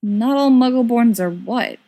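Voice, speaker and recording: A woman's voice: a little high, a little slow, mellow, voice pushed up. A meek young man read by a woman in a fantasy audiobook. He sounds unsure of himself and hesitant, dodging any firm opinion. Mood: uncertain.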